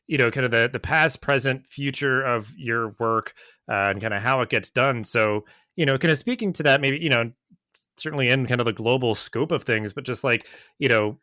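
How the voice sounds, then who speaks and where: A man's voice: mid-range voice, moderate pace, pushed and bright, dry recording, studio quality. A man hosting a podcast in a casual interview chat, rambling with lots of hesitant fillers. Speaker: podcast host.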